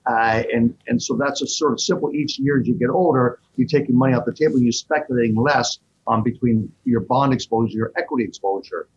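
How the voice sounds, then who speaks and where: A man answers interview questions about investing, speaking in fast, animated bursts. His voice is husky. The audio sounds dull and lo-fi, like a remote connection. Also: medium pitch. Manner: fast, animated explaining with fillers. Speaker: fund manager guest.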